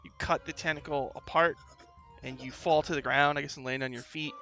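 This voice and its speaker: Bright-voiced game master over music. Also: medium pitch, fairly even intonation, a little fast, lo-fi sound. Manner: casual, conversational. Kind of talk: improvised tabletop game narration.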